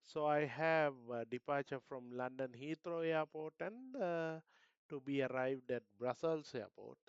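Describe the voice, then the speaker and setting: Smooth higher-pitched Sri Lankan man. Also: expressive, unhurried, no room sound, muffled recording. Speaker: flight simulator streamer. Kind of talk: casual live commentary of flight planning.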